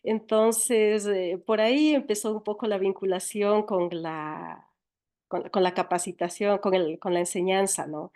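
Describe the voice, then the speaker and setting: A woman with an Ecuadorian accent giving reflective interview answers, speaking thoughtfully and haltingly, often repeating words as she goes. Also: medium pitch, darkish tone. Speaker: interviewed writer, storyteller and educator.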